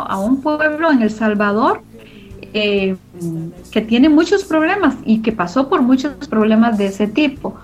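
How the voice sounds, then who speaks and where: A woman explaining her radio production work in an interview, searching for her words as she goes. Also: on the high side. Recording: muffled sound with music underneath. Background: music.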